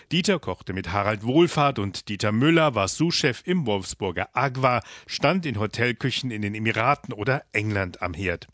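A man's voice: medium pitch, a bit bright. A man presenting a scripted report and then asking interview questions, with a fluent, polished delivery. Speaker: radio interviewer and narrator.

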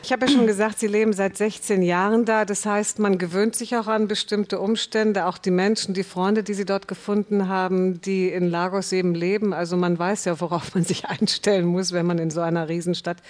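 A woman's voice: medium pitch, fairly even intonation, a little fast, hardly any pauses, darkish tone. A woman moderating a panel interview as host, putting questions to her guests.

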